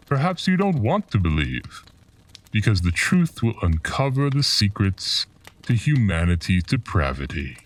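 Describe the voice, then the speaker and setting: Very deep, ominous storyteller. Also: male voice, sing-song, distant mic. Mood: taunting, menacing. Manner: portentous, deliberate, taunting. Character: mysterious, ominous male storyteller collecting dark legends. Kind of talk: slow theatrical horror radio drama narration.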